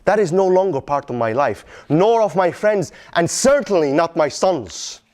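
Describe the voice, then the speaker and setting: A man's voice: fairly high pitch, expressive, moderate pace, darkish tone, slight background noise, lightly acted. A man performing a dramatic monologue as an anguished middle-aged Palestinian father, in Arabic-accented English, with emphatic repetition and pointed questions. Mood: controlled, bitter resolve. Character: anguished Palestinian father pleading with his vengeful son.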